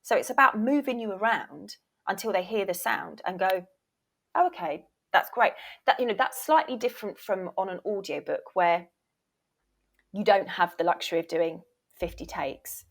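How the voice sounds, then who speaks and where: A woman's voice: mid-range voice, animated, normal speed, vocal fry, a bit bright. A woman speaking as an expert interview guest, giving conversational advice emphatically and informally, sometimes mildly sweary and slipping into imagined quotes. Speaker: guest, voiceover reel producer and former agent.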